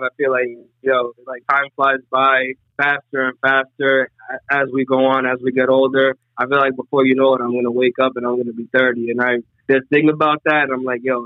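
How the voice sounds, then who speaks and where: A young man in his twenties talks in a loose, rambling way, with "you know" filling nearly every pause. His voice keeps to a narrow, flat pitch. It comes through a thin, phone-like line with a dry, close sound. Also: no echo. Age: 20s. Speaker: podcast guest. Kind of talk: casual podcast conversation. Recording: tinny phone-like remote line.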